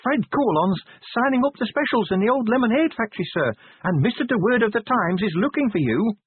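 A man voicing a small, dutiful female dwarf police sergeant in an audiobook, reporting urgently in a raised, husky voice. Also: high-pitched, animated, fairly quick, dry recording, pitched above the performer's own voice. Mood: businesslike. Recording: tinny, narrow-band radio-like audio.

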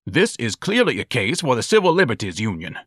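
A man's voice: mid-range voice, huge pitch swings, fairly quick, fairly bright tone, slightly rough, no room sound, clean studio sound, pitched above the performer's own voice, heavily acted. A man voicing a pompous, huge thirty-year-old mama's boy who speaks in a florid, condescending way.

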